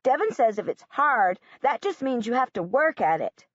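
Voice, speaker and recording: A woman's voice: on the high side, very animated, moderate pace, dry recording, lo-fi sound, pitched above the performer's own voice. Woman doing a blunt, literal young girl. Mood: earnest blunt. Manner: blunt, matter-of-fact.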